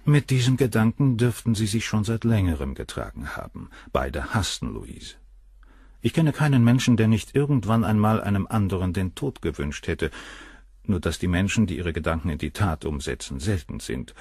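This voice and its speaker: An actor playing a self-assured, provocative doctor in a crime radio play, with a gravelly voice and a cool, superior, emphatic manner. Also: male voice, low-pitched, animated, moderate pace, darkish tone, dry recording, studio quality, exaggerated voice.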